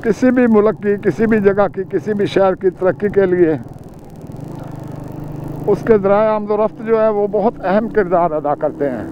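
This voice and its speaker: A man's voice: sits high, moderate pace. An older man with a Pakistani Urdu accent, riding a motorcycle on mountain roads and chatting as a guide, pointing out sights and reciting short prayers. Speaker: moto vlogger. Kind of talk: casual travel commentary while riding. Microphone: helmet or bike-mounted camera mic. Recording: muffled camera audio with clear engine and wind noise.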